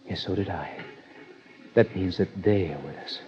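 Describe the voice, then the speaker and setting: An actor as a deep, solemn séance guest. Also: male voice, normal speed, darkish tone, lightly acted. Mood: solemn, hushed. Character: quiet, solemn male séance sitter, credulous and polite. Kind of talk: 1950s radio drama acting. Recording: dull vintage radio recording.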